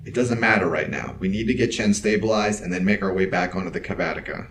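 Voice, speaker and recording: A man's voice: sits low, fast talker, fairly bright tone. A man playing a focused, practical spaceship crewman in an audio drama, terse and matter-of-fact. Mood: controlled urgency. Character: focused, level-headed male spaceship crewman under pressure.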